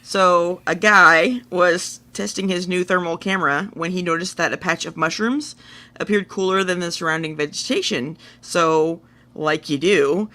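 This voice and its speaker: A man's voice: a little high, pushed and bright. A man co-hosting a podcast, relaying things in an informal way and thinking aloud as he goes. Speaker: podcast co-host. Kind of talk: casual chat, relaying a science story.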